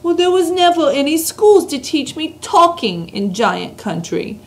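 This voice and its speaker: Booming, slow, grandly muddled old giant, read by a woman, loud and lively in pitch. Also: full character voice. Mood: earnest.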